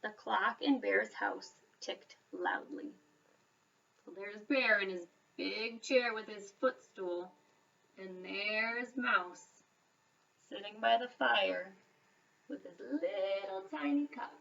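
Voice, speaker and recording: A woman reading a children's story aloud as a school reader, expressive, with dramatic emphasis and pauses. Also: medium pitch, creaky, recorded from a distance, highs cut off.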